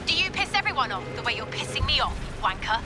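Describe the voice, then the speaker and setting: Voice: medium pitch, sing-song, fast talker, loud music behind the voice, lightly acted. An actor playing an abusive, bullying British guard in a video game, sneering and foul-mouthed. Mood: angry contempt.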